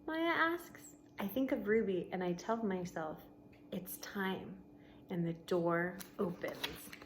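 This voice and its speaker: A woman reading a children's book aloud like a teacher to pupils, expressively, with deliberate pauses between short phrases and casual explanations. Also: fairly low pitch, lively intonation. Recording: noticeable background noise.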